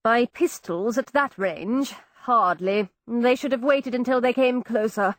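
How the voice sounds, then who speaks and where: Female narrator as aloof young gentleman detective, measured and lofty, dry studio sound. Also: a little high, no room sound, lightly acted. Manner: slow, cool, superior.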